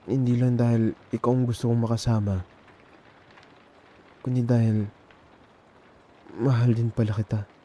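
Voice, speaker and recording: A man with a Filipino accent playing a nervous, tender young man in an intimate ASMR boyfriend roleplay, speaking haltingly and repeating words. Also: medium pitch, little pitch movement, warm tone, a little hiss or hum, lightly acted. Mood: hesitant, tender. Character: nervous, tender young man confessing love to male best friend. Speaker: ASMR audio roleplay performer.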